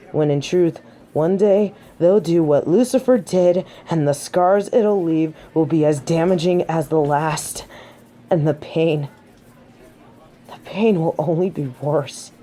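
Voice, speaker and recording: A woman acts out a haughty tsundere angel in an audio drama. Her voice is low and dark, and she takes her words slowly. She carries herself with wounded pride, defensive and theatrical. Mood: solemn, disdainful. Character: haughty tsundere fallen angel of judgement, proud, wounded, flustered. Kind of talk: scripted audio roleplay acting.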